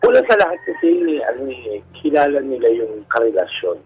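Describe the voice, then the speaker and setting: A Filipino man with a husky, effeminate way of speaking, candidly telling his personal story as a guest in an interview. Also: medium pitch, huge pitch swings, brisk, a little mellow, low-quality recording. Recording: radio broadcast with music underneath. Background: background music.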